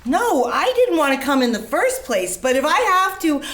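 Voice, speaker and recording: A woman's voice: sits high, very animated, moderate pace, fairly bright tone, a little hiss or hum. A woman playing a controlling, distrustful mother with a curt, insistent manner in a staged drama reading. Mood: defensive, insistent. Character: defensive, controlling, distrustful mother of a young girl. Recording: echoey room recording.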